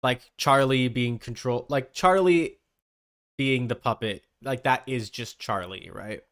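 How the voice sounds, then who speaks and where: Male streamer, clear resonant voice, loose conversational delivery that wanders as he thinks aloud. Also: mid-range voice, unhurried, fairly bright tone. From Canada. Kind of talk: casual livestream chat.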